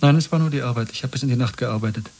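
A man acting a weary government clerk with secret doubts in a 1970s radio play. His voice is husky and subdued, his manner earnest and terse. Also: mid-range voice, very fast, a little mellow, close to an everyday voice.

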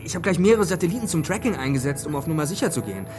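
Lively, wry young techie guy, played by actor. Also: on the high side, racing, music in the background, lightly acted. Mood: excited, sarcastic. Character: grumbling young male techie friend, wry and excitable. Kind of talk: naturalistic German radio play acting.

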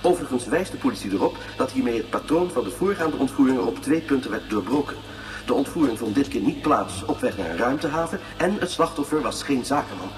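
A male actor plays a television reporter in a sci-fi radio play. He reads urgent bulletins formally and very fast, at a medium pitch. He sounds a little distant, with music clearly present. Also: expressive, close to an everyday voice. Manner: formal, measured wording. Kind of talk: newsreading within a radio play.

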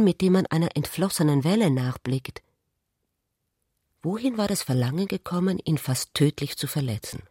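A woman's voice: lowish voice, darkish tone, breathy edge, dry recording. Contemplative woman narrating, close and dry. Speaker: narrator of a literary radio play. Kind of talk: literary scripted narration.

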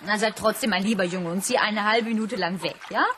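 A woman's voice: mid-range voice, a little fast, noisy background, natural voice. A woman playing a coy, playful worker in a radio play, speaking coaxingly. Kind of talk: 1980s German radio play acting.

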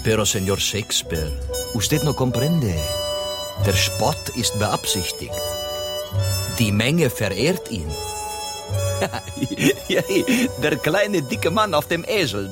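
An actor in a German radio drama playing an amused, proud Spanish poet who speaks expressively and mixes in Spanish. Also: male voice, medium pitch. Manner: expressive, chuckling, peppers speech with Spanish.

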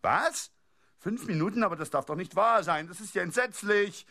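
A man playing a frantic, bossy director in a radio play, barking orders excitably. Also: high-pitched, moderate pace, heavy background noise. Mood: panicked, urging.

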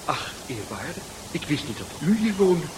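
An actor playing a cheerful, polite neighbour in a radio drama, chatty and well-mannered. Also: male voice, a little high, sing-song, normal speed, background noise. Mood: bright, polite.

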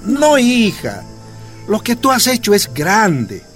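A man's voice: sits high, wildly swooping pitch, takes it slowly, faint music behind, heavily acted. A man acting in a radio drama as a devoted older father and proud former union leader, earnest and exhorting. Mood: proud, urging.

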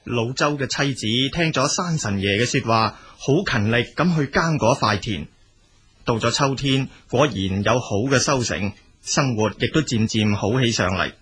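A man with a Cantonese accent narrating a scripted folk tale as a storyteller, in a husky voice. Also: mid-range voice, expressive, normal speed, fairly bright tone, a little hiss or hum.

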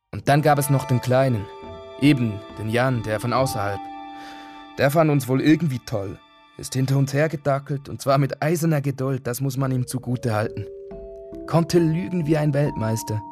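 An actor playing a villager in a radio drama, looking back on a childhood gang in a wry, self-justifying, colloquial way. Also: male voice, mid-range voice, darkish tone, natural voice. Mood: defensive. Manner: colloquial, offhand. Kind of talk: radio drama monologue, conversational recollection.

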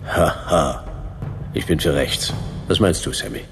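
A world-weary, hard-boiled detective with a very deep, gravelly voice. He is terse, wry and deadpan, narrating a sci-fi radio play over clear background music. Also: measured pace. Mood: sardonic, wary. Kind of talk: radio drama narration and dialogue.

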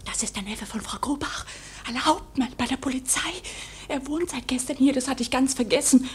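A woman acting a weary young secretary in a German radio drama, curious and quickly alarmed. Her voice is fairly high and breathy, often hushed, on a slightly noisy old recording. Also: lightly acted.

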